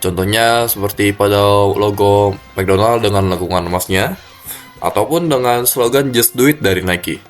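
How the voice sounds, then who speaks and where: Low-voiced stuffy-nosed man presenting. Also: faint music behind. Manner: halting, many fillers. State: stuffy nose. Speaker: student presenter. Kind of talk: class presentation. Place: cold room.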